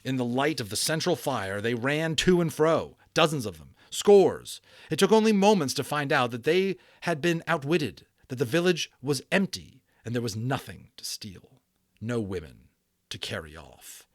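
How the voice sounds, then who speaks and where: A man with a mid-pitched voice gives a dramatic storybook narration. He leans on key words theatrically, like a keen amateur storyteller. The sound is dry, with little room in it. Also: sing-song, moderate pace, dry recording. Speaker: audiobook narrator.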